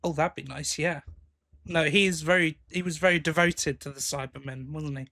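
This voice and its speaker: A man's voice: fairly high pitch, fairly even intonation, moderate pace, pushed and bright. A man co-hosting a podcast, chatting casually about news. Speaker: podcast co-host. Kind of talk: casual chat about sci-fi audio drama news.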